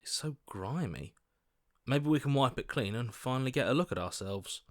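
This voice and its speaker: An actor playing an earnest, anxious hero's inner voice in a video game, conversational and questioning. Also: male voice, medium pitch, lively intonation, moderate pace, no room sound, studio quality, close to an everyday voice. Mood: eager, exasperated. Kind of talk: video game character voice acting.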